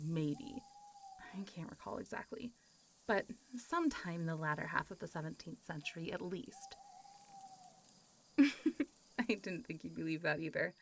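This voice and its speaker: Friendly, playful zombie girl, acted by a woman. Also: lowish voice. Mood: insistent, amused. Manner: chatty, teasing, frequent giggles and sighs, hesitant asides. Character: friendly, playful centuries-old female zombie monstergirl. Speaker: voice actor. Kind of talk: roleplay audio addressed to one listener.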